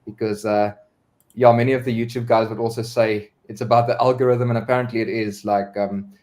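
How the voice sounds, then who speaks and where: A man's voice: mid-range voice, level tone, brisk, a little mellow. A man talking as a singer guest on a live-stream video call, earnest, with many fillers and repeated words. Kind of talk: casual live-stream chat.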